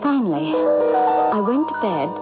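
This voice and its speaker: A woman's voice: medium pitch, very animated, slow pace, narrow, tinny audio. A woman playing an anxious, emotional wife who is frightened for her husband, in a 1970s radio drama. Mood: dread. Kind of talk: 1970s radio drama acting, narration and dialogue. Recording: band-limited old radio broadcast, music behind.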